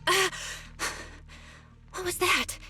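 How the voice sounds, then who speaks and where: An actress playing a perky, earnest young heroine in an anime-style video game. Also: female voice, very high-pitched, drawn-out words, bright, forceful tone, exaggerated voice. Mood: puzzled, tired. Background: music.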